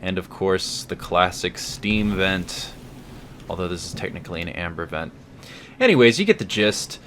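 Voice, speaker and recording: Male game reviewer, low voice, wry commentary, slightly hesitant phrasing, faint background noise. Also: expressive, normal speed, a bit bright. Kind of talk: semi-scripted review commentary over gameplay.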